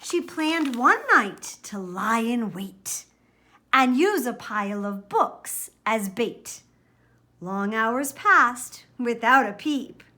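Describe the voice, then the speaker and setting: A woman's voice: fairly high pitch, bright, forceful tone. A woman reading a children's picture book aloud expressively, with dramatic, careful emphasis. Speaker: storybook reader.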